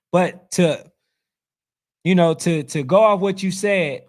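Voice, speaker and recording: A man with a fairly high, hoarse voice talks straight to his audience, as if his voice is wearing out. He comments on sports in an animated, preachy manner, reading out comments as he goes. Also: slow. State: losing his voice. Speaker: youth football channel host.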